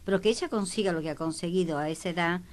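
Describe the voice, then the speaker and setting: A woman giving conversational opinions as a history panelist, haltingly searching for words. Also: lowish voice.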